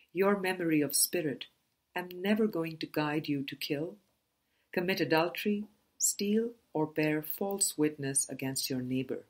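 A woman reading a spiritual book aloud as scripted narration, in a measured, deliberate manner with pauses between phrases. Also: low voice, a little mellow. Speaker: audiobook reader.

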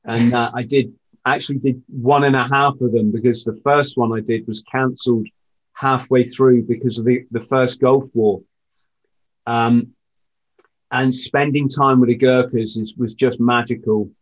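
A man's voice: mid-range voice, steady tone, unhurried, muffled recording. A middle-aged man with a British accent, hosting a casual interview in a reflective, anecdotal way. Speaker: podcast host, former marine. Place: indoor room, video call.

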